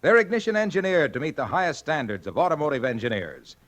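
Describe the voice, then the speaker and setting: A man's voice: on the high side, very animated, fast talker, a bit bright. A man delivering a 1940s radio commercial in a salesy, emphatic manner. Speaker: commercial pitchman.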